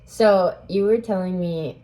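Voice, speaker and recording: A woman's voice: lowish voice, unhurried, mellow, poor audio. A young woman student interviewing for a class project, asking rambling questions with fillers.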